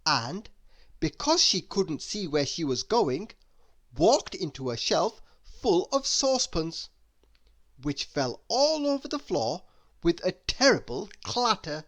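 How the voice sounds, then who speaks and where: A woman reading a children's story aloud in an expressive narrating style. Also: fairly low pitch, slow pace. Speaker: storybook reader.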